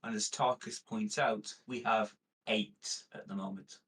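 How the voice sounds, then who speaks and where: A man's voice: fairly low pitch, fairly even intonation, a little slow, fairly bright tone, breathy edge, recorded from a distance. A man playing a tabletop roleplaying game, casually talking through supplies and tallies with the group. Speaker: player.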